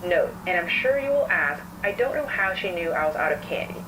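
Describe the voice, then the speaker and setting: A woman acting as a composed female therapist speaks in a fairly low voice, probing and narrating case notes for a horror story. The sound is distant, with clear noise behind it. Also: very animated, fairly quick. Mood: composed, uneasy.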